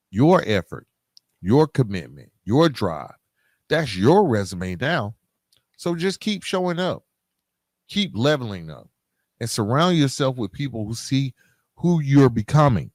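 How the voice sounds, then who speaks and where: A man's voice: mid-range voice, no room sound, studio quality. A man hosting a podcast, speaking candidly and emphatically straight to listeners in colloquial, streetwise phrasing, like a motivational monologue. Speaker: podcast host.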